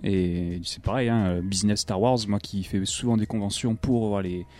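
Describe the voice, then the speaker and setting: Man, low mellow voice, relaxed chat, fillers. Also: normal speed. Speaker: podcast co-host. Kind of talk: casual chat.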